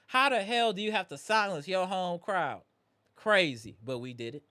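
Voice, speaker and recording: A man hosting a live postgame basketball reaction stream, animated and greeting viewers in the chat, his voice strained from yelling. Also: high voice, normal speed, no echo. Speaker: livestream host.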